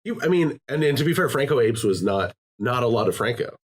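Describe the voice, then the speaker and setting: A man hosting a casual podcast chat, telling jokey stories in an animated way. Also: medium pitch, brisk, crowd noise. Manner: animated, joking storyteller. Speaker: podcast host.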